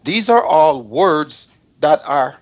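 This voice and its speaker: A man's voice: on the high side, sing-song, takes it slowly, dull audio. Jamaican guy hosting a radio talk show, blunt n emphatic, repeats himself to make the point. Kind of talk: opinionated radio talk aimed at advising artists.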